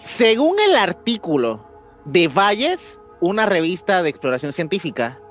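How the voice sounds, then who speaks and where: Young man, Mexican accent, slangy podcast co-host, fairly high voice, faint music bed. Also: very animated, a little slow, a bit bright. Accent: Mexican Spanish. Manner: slangy, says "güey" often, acts out voices in quotes. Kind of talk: casual chat retelling a mystery.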